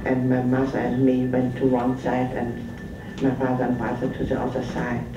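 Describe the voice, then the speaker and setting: An older woman giving recorded testimony as a survivor, speaking slowly and haltingly with many pauses and fillers. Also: a bass voice, completely flat delivery, roomy sound, far from the mic, lo-fi sound, very noisy. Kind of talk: recorded first-person testimony.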